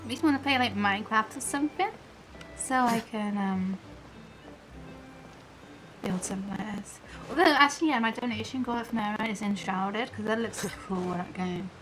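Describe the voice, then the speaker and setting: A woman's voice: mid-range voice, normal speed, fairly bright tone, faint music behind, poor audio. A woman game streamer giving casual, chatty live commentary, rambling along with her train of thought.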